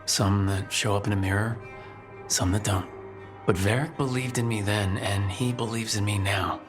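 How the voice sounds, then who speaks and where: An actor playing an earnest young human mage hero in a video game, reflective and determined. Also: male voice, lowish voice, fairly even intonation, normal speed, music in the background, natural voice.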